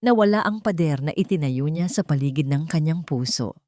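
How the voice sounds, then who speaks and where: A woman's voice: low voice, expressive, a little fast, warm tone, no room sound, studio quality. A woman reading a scripted, dramatic love story as a storyteller.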